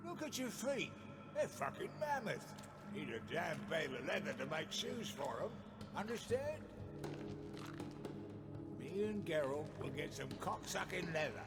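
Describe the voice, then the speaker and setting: A man voicing a gruff, foul-mouthed male spy who swears freely. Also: on the high side, big pitch swings, unhurried, pauses often, loud music behind the voice. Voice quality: gravelly, swears constantly.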